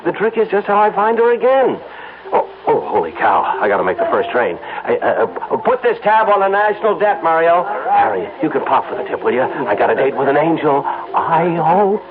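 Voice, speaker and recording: An actor playing a flustered, stammering advertising executive in a 1970s radio drama, excitable and smitten. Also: male voice, on the high side, moderate pace, a loud crowd. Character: smitten, flustered advertising man, fast-talking. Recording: tinny lo-fi old radio broadcast with hiss.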